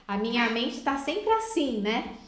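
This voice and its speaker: Woman therapist talking with a guest, a bit high pitched, lots of "right?", echoey room. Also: very animated, unhurried. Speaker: hypnotherapist host. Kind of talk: casual interview chat. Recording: roomy echo.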